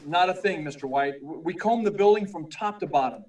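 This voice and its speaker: An actor playing a meek, earnest young newspaper reporter in a 1940s-style radio serial, hesitant and polite, recorded at a distance. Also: male voice, a little high, animated, a little fast, darkish tone, lightly acted. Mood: eager, polite. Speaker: actor in a live radio play. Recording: distant, far from the mic.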